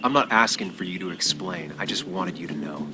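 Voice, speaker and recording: An actor playing a determined, righteous investigative journalist in a video game, terse, restrained and intense. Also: male voice, low-pitched, a little fast, music in the background, lightly acted. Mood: grimly defiant. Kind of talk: video game dramatic acting.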